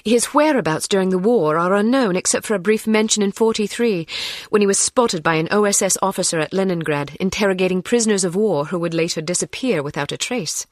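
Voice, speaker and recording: A woman plays a young British secret agent in a spy game. Her voice is medium in pitch, articulate and tart, with a determined edge. It is recorded close, with no room sound. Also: fairly quick, no echo, close to an everyday voice. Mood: matter-of-fact briefing. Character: determined young female British spy, sharp-tongued, impatient. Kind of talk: video game voice acting.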